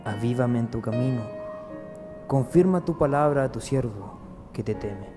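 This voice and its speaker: A man's voice: medium pitch, expressive, normal speed, music in the background, lightly acted. A man playing a devout believer earnestly praying a psalm in a radio drama. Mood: devout, pleading. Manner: earnest, prayerful.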